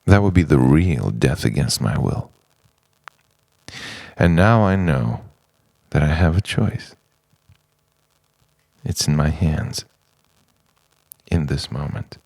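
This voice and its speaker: An extremely low-voiced man speaks an introspective spoken-word piece, slowly and in halting phrases. His gravelly delivery moves widely in pitch and sometimes sinks toward a whisper, with dramatic pauses between thoughts. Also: animated, a little mellow, dry recording. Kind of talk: introspective spoken-word monologue, poetic letter to himself.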